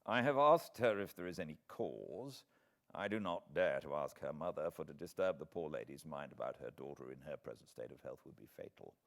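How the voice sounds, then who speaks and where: A British man playing a worried, earnest gentleman writing to an old friend, in an audiobook reading. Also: extremely low pitch, animated, fairly quick, gravelly, voice pushed down, exaggerated voice. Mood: anxious, earnest. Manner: anxious, earnest. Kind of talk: dramatised audiobook letter reading.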